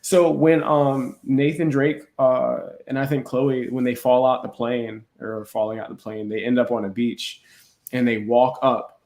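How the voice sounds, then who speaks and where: Guy on a film podcast, slangy casual talk, mid pitch, roomy echo. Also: flat delivery, a little slow, a little mellow. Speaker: podcast co-host. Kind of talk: casual film review chat.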